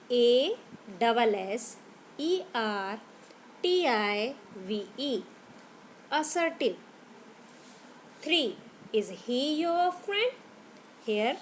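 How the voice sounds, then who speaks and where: A woman teacher with an Indian English accent, slowly spelling out grammar words letter by letter for young pupils. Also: sits high, a little hiss or hum, poor audio. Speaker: school teacher.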